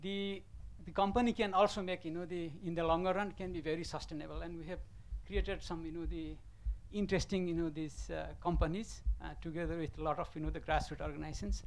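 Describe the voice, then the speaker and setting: Halting man from Nepal speaking from the floor. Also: fairly high pitch, little pitch movement, rough recording. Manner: halting, many fillers and restarts. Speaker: audience member asking a question. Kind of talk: conference floor comment.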